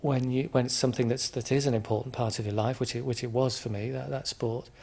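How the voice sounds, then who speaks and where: A man from England answering interview questions in a hesitant, reflective way, with frequent restarts and pauses. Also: mid-range voice, steady tone, vocal fry, darkish tone. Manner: hesitant, many restarts and ums. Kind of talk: conversational interview.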